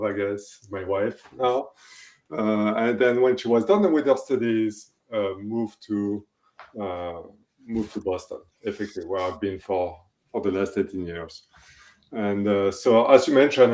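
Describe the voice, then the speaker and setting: A man in his forties with a French accent, giving relaxed, thoughtful interview answers. His voice sits fairly low. The call audio echoes in a roomy space. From France. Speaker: guest, technology executive.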